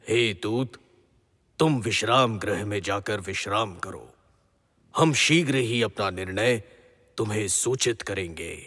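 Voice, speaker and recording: A man acting a grave, righteous middle-aged king and father in a mythological audio drama, speaking in formal, measured pronouncements. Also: fairly low pitch, a bit bright. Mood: calm, pondering. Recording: long hall-like reverb.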